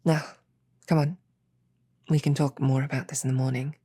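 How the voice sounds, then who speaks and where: A sultry succubus, acted out by a woman in a bedtime roleplay audio. The voice is deep for a female, husky and mellow, often sinking toward a whisper. The demoness teases gently and lingers over each phrase with intimate affection. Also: little pitch movement. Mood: sleepy, seductive. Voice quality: breathy, soft, low. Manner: teasing, intimate, unhurried, halting. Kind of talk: roleplay audio drama, whispered sleep-aid ASMR.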